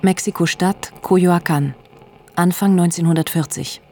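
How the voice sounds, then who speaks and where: A woman's voice: fairly low pitch, steady tone, unhurried, darkish tone. A woman as a neutral, formal announcer of scenes in a radio drama. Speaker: announcer of places and dates.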